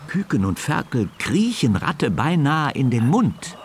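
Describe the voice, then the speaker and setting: A man narrating a children's radio play as an expressive, deliberate storyteller. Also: mid-range voice, a little hiss or hum. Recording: studio narration with music underneath.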